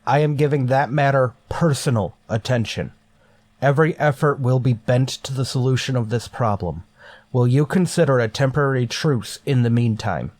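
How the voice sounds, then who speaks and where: An actor playing a grim, authoritative starship captain in a golden age sci-fi radio drama, speaking in a stern, deliberate way. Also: male voice, mid-range voice, darkish tone, natural voice. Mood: commanding.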